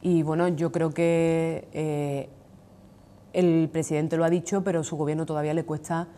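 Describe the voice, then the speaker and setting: Sevillian woman with a low, mellow voice. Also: level tone. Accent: Sevillian Andalusian. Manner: deliberate, restarts phrases, frequent "eh" fillers. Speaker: trade union leader, interview guest. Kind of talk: measured political interview answers. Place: television studio.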